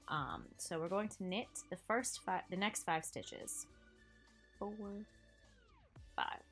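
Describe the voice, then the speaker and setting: Slow nasal woman teaching knitting. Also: fairly low pitch. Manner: slow, relaxed, filler-heavy, counting stitches aloud. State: sick and nasal. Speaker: knitting instructor. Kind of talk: casual step-by-step tutorial voice-over.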